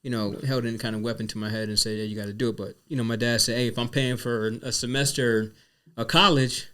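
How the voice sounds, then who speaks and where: A man's voice: mid-range voice, fairly even intonation, fast talker, sharp tone, clean tone. A man in his forties from Virginia, co-hosting a podcast in laid-back casual chat with many fillers. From Virginia, Tidewater area. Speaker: podcast co-host.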